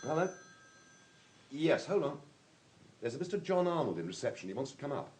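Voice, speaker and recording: An actor in a radio drama playing a dutiful police assistant with a businesslike, respectful manner. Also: male voice, fairly high pitch, big pitch swings, brisk, noisy background. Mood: brisk, dutiful. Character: brisk, dutiful young male police assistant.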